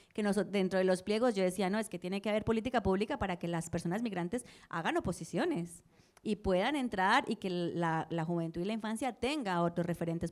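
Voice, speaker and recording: A woman's voice: mid-range voice, darkish tone. A woman from Colombia, a community organizer speaking thoughtfully on a panel and often ending phrases with tag questions. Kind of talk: public panel discussion.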